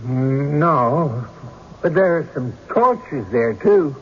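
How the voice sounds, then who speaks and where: A slightly high male voice plays a rustic witness in an old radio courtroom drama. He talks slowly and haltingly, stammering as he dodges and defends himself. The recording is dull, with faint noise. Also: lively intonation. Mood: cornered, flustered.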